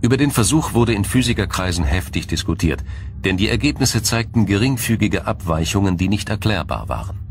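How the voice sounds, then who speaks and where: Low-voiced male documentary narrator. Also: expressive. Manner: measured, explanatory, formal delivery.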